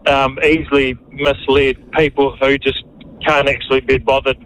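A man calling a talkback show, halting, with many fillers and restarts. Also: medium pitch, level tone, a bit bright, slight background noise, rough recording. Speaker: caller. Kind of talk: talkback phone call.

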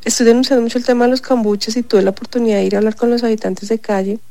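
A woman's voice: a little high, steady tone, rapid-fire, dark tone, no room sound. A woman, a city councillor, speaking emphatically in a radio interview with a congested, stuffy voice. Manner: emphatic, insistent, repeats points.

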